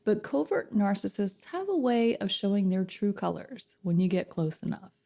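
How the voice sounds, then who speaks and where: Slightly hoarse woman coach with a gravelly voice. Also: mid-range voice, big pitch swings, normal speed, warm tone. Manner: chatty, addresses viewers directly with questions. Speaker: narcissistic abuse recovery coach. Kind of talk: casual direct-to-camera advice talk.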